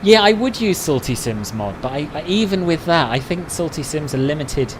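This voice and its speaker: Man, relaxed conversational live-stream talk, answering chat, steady background noise. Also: on the high side, moderate pace. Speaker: flight sim streamer.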